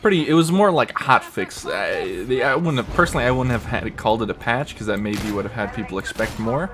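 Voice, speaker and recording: A young man with a scratchy throat rambling casually while he plays a video game. He talks with lots of fillers and casual swearing, in a deep, fairly monotone voice. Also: fairly quick, crowd noise. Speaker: gamer commenting while playing. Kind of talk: casual gameplay commentary.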